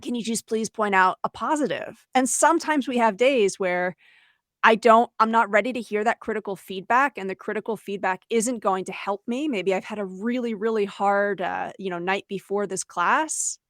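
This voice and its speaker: A woman's voice: medium pitch, normal speed, fairly bright tone, dry recording, studio quality. A woman co-hosting an educators' webinar, talking conversationally in an explanatory way, voicing example lines and checking in with the listener. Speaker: podcast co-host and educator. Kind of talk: conversational webinar talk for teachers.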